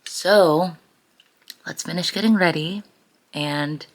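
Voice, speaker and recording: Female vlogger, American, low register with a singing-worn rasp; casual, gushing, upbeat chat. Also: slow pace, poor audio. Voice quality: raspy. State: voice raspy from singing. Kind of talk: casual chatty vlog.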